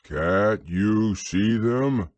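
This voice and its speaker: A man voicing a gloomy, sarcastic old donkey in a storybook reading, with a low, slow, drawn-out voice. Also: darkish tone, dry recording. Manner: morose, dryly sarcastic.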